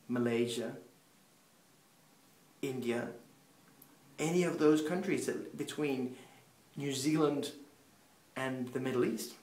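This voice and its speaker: A man who grew up in Peru and speaks Spanish as his first language, preaching earnestly with rising emphasis that falls back low. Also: reverberant. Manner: earnest, builds emphasis then drops low. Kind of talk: sermon-style teaching.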